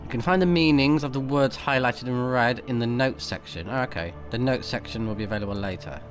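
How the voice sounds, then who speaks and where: A man's voice: medium pitch, a little fast, over music. A man recording a let's play, switching between casual off-the-cuff commentary and reading game text aloud. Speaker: let's player, game streamer.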